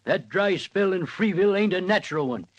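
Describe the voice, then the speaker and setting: High-voiced cranky old country telegrapher. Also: male voice, expressive, fairly quick. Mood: insistent. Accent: rural American. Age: older. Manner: folksy, clipped, ungrammatical speech. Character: cantankerous old rural telegrapher, stubborn and secretive. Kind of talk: 1940s radio drama acting.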